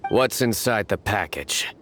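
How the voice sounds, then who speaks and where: A man's voice: lowish voice, expressive, a little slow, a bit bright, soft background music. A man playing a stern, menacing law enforcer in a video game cutscene, terse and coolly controlled while interrogating. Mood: cool interrogation.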